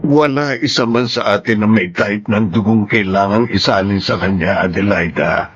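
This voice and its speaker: A man's voice: on the low side, moderate pace, lo-fi sound, natural voice. An actor with a Filipino accent playing a guilt-ridden, sorrowful father in a heavy-hearted melodrama. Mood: grave.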